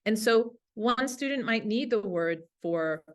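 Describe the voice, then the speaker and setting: A woman's voice: a little high, animated, measured pace. A woman teacher giving an instructional webinar talk to colleagues in an enthusiastic, informal way. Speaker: language teacher presenting a webinar.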